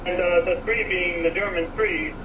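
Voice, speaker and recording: Distant American man, high voice, noisy. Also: fairly even intonation, moderate pace. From Miami, USA. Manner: thoughtful, hesitant, many fillers. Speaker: car design director guest. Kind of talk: radio interview answers. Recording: distant, dull, noisy radio audio.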